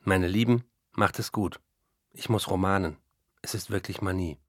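Husky, driven male novelist. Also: sits low, moderate pace, no echo, clean studio sound. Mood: resolute. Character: driven, obsessive male novelist, rigid about routine. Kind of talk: radio play monologue.

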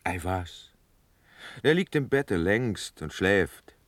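An actor playing a practical, impatient officer, wry and mocking, in a classical verse radio drama. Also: male voice, low-pitched, very animated, no room sound, heavily acted. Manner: wry, exasperated, deliberate stage delivery.

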